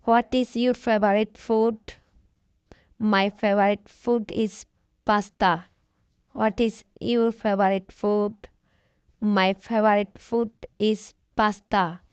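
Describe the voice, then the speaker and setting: A woman reads simple practice dialogues at an extremely slow, deliberate pace. Her voice is smooth and clear, recorded dry and close with no room sound. The audio is slightly dull and lo-fi. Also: medium pitch, flat delivery, no echo. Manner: deliberate, evenly paced beginner drill reading. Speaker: English lesson voice. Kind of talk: slow scripted conversation drill.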